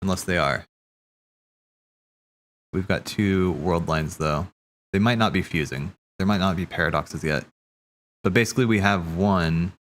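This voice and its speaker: Man, deep voice, casual streamer commentary, rambling, self-correcting, offhand swearing, faint noise behind him. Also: measured pace, pauses often, a bit bright.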